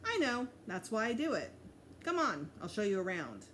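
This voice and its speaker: A woman's voice: mid-range voice, big pitch swings, moderate pace, fairly bright tone, slight background noise. A woman voicing a cocky, enthusiastic school-age boy who likes to show off, in a children's storybook reading. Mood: eager. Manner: boastful, eager.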